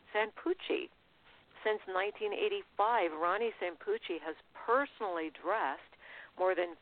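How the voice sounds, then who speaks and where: A woman hosting a radio interview show, upbeat and promotional, spelling out web and email addresses. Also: deep voice. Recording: phone-like narrow band.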